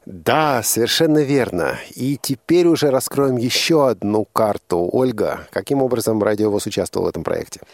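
A male radio host with a lowish voice, presenting a live talk show. He asks questions and makes links in conversational speech, recorded close and dry in a studio. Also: lively intonation, normal speed, few pauses, no echo.

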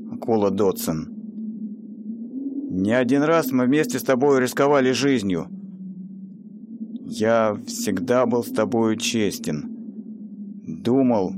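A man's voice: medium pitch, noisy background, natural voice. An actor playing a loyal male train robber who pleads with his betraying partner in a radio play. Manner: pleading, then bursting out loudly. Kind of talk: amateur radio play acting.

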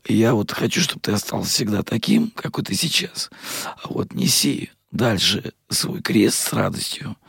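A man hosting a radio interview, hoarse today, talking warmly and with many pauses and fillers. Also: lowish voice, no echo, studio quality. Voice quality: husky, gravelly. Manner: warm-hearted, rambling, many fillers. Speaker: radio host. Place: radio studio.

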